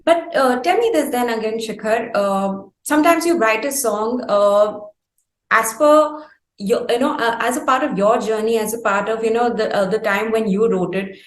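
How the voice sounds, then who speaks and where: A woman with an Indian accent interviewing at length, phrasing her questions in a wordy, halting, reflective way. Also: fairly high pitch. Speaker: interviewer, host. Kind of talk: conversational long-form music interview. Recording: echoey, roomy sound.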